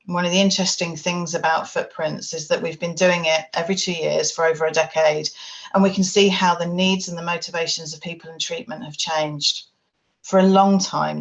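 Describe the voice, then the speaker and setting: A woman delivers a webinar presentation in a low, even voice that barely rises or falls. Her tone has a gravelly, fry-edged texture, and she speaks in a steady, measured way, as if working through prepared remarks. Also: rough recording. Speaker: charity chief executive presenting.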